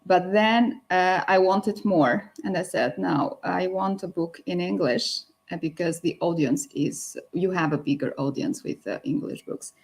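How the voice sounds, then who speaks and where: Gravelly Albanian-accented woman, laughing often. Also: fairly low pitch, unhurried, few pauses. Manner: cheerful, laughs often between phrases. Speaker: guest author. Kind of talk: live chat interview. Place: at home at night. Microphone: video call.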